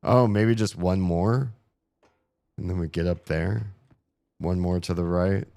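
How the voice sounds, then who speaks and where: A man streaming a puzzle game, grumbling sarcastically about the puzzles while his voice is going hoarse. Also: low-pitched, fairly even intonation, a little slow, dark tone, no echo. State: losing his voice. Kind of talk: casual live-stream commentary.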